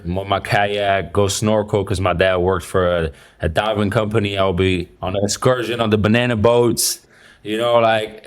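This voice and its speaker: A man in his twenties, from the Dominican Republic and raised in Belgium, answering interview questions in a relaxed, rambling way with many fillers. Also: on the low side. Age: 20s. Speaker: professional basketball player, guest.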